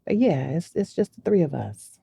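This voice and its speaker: Low, mellow-voiced woman from Connecticut. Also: big pitch swings, creaky, husky. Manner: easygoing, agreeable, speaks with pauses and fillers. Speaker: guest, mushroom farm owner. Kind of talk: casual interview answers.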